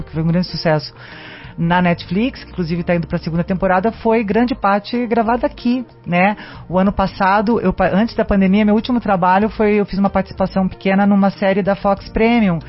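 An actress being interviewed as a guest, talking in a chatty, animated way with lots of fillers. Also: female voice, medium pitch. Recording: dull lo-fi radio sound with faint music.

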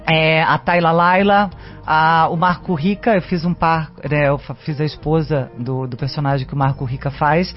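An actress being interviewed as a guest, talking in a chatty, animated way with lots of fillers. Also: female voice, low voice, moderate pace. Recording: dull lo-fi radio sound with faint music.

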